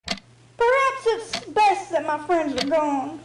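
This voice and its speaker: A woman's voice: extremely high pitch, sing-song, takes it slowly, noisy background, heavily acted. A woman playing a troubled, guilt-ridden young pony filly in an audio drama, tearful and distrustful. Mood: guilt-ridden, upset. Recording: roomy voice over background music.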